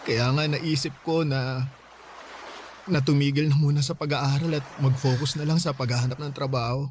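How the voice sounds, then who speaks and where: An actor playing a gentle, self-sacrificing young student boyfriend, earnest and sorrowful, in a Tagalog radio drama. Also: male voice, medium pitch, steady tone, normal speed, a little hiss or hum, lightly acted. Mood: sad, resigned. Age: young adult. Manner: soft-hearted, earnest, sorrowful.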